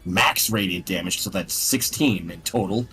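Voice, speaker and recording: A man's voice: deep voice, normal speed, sharp tone, faint music behind. A man chatting casually and bluntly as a player in a tabletop role-playing game.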